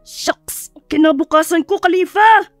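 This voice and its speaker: A woman's voice: very high voice, measured pace, dry recording, pitched above the performer's own voice. A woman voices a grumbling, dramatic Filipino boss man in an audio romance. He teases and complains in a dry recording over faint music. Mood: pained, annoyed. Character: grumpy, teasing adult male boss, complaining dramatically.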